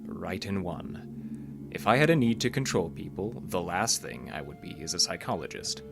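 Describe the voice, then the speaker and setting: An actor playing a calm, probing male psychologist in an audio drama, with a measured, patient, clinical way of questioning. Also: low-pitched, vocal fry, full character voice. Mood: gently firm. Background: music.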